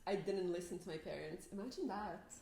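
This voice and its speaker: A woman's voice: on the low side, a little fast, creaky, dark tone, slight background noise. A young woman co-hosting a podcast, chatty and giggly, leading the questions in casual talk. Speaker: podcast co-host. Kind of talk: casual chat. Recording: echoey room.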